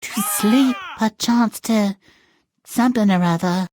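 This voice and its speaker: A man's voice: sits high, slow, a little mellow, no room sound. A man voicing a flighty, materialistic young fairy-tale heroine in a comic game, with breezy, self-absorbed valley-girl talk. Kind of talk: comic video game cutscene acting.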